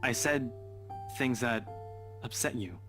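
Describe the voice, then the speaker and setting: An actor playing a proud but awkward young man in a visual novel game, gruff and sheepish. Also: mid-range voice, takes it slowly, music in the background, natural voice. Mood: flustered, apologetic.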